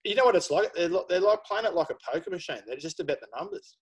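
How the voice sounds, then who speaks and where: A man's voice: high-pitched, fast talker, breathy edge. Man in his thirties, rambling. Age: 30s. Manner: relaxed, rambling, lots of fillers. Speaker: guest photographer. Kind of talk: casual podcast chat.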